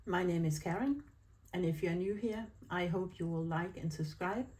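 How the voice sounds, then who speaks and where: A woman's voice: fairly low pitch, normal speed, a little mellow, husky, poor audio. A woman from Denmark speaking English with a Danish accent, chatting casually at home about her planner, with many fillers and restarts. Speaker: planner vlogger. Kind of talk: casual chat to camera.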